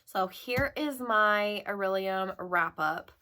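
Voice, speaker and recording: A woman book vlogger chatting casually and informally to her viewers with rambling asides, her voice a little gravelly as she recovers from being ill. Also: mid-range voice, animated, slow, sharp tone, a little hiss or hum. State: recovering from illness, slightly gravelly voice. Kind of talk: casual chatty monologue to camera.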